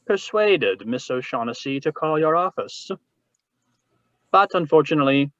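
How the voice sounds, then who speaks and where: A man's voice: a little high, a bit bright, no echo. Man playing a florid, courtly crime boss, muffled audio. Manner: florid, courtly, chuckling, mannered speech. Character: genteel, scheming, jovial older male crime boss. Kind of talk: 1940s radio drama acting. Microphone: video call. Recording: muffled, noisy video-call audio.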